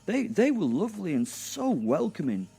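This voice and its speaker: A man playing a mischievous, gleeful werewolf in an audio drama, teasing in a drawn-out, mock-hesitant way. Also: high voice, wildly swooping pitch, vocal fry, mellow, a little hiss or hum, voice pushed up, exaggerated voice. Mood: mock innocent.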